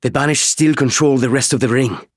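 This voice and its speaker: A man voicing an excitable, rattled male pilot with an eager manner in an audiobook. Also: medium pitch, brisk, no echo, clean studio sound, pitched above the performer's own voice, close to an everyday voice. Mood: grim, urgent. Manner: animated, eager. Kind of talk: audiobook character dialogue.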